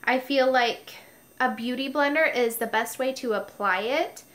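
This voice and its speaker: A woman's voice: on the high side, a little slow, fairly bright tone. Stuffy-nosed woman chatting through makeup. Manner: chatty, explains each step. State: stuffy nose. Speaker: beauty vlogger. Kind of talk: casual makeup tutorial. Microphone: noisy mic.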